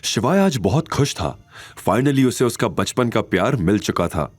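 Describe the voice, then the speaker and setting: A man's voice: lowish voice, sing-song, a little slow, no room sound. A man reading scripted dramatic narration as the narrator of an audio story. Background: background music.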